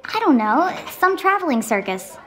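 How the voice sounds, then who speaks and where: A meek, muddled man fond of drink played by a woman in a radio play. The voice is high, with a hesitant, apologetic and defensive delivery. A faint crowd can be heard behind it. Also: sing-song, normal speed, fairly bright tone, poor audio. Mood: vague.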